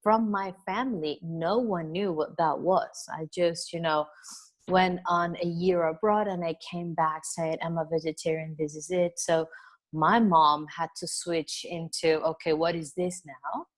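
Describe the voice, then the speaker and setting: Chatty woman from Venezuela, lowish voice. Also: fairly even intonation, a little slow. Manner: chatty and expansive, with frequent "you know" and soft laughs. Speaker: interview guest, wellness business coach. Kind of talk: casual interview conversation.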